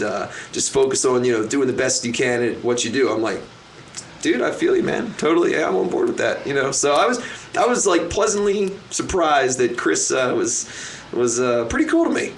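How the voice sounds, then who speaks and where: A man, a magician and guest, chatting casually in an interview. He talks loudly, with many restarts and fillers. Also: medium pitch, expressive, brisk. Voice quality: loud, rambling with many restarts. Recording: faint noise, live stream.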